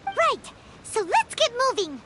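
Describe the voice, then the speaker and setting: A woman voicing a tiny, excitable, curious fairy companion in a video game, with a squeaky childlike voice and eager delivery. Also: measured pace, heavy background noise, exaggerated voice. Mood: excited, curious. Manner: exclaiming, eager, inquisitive.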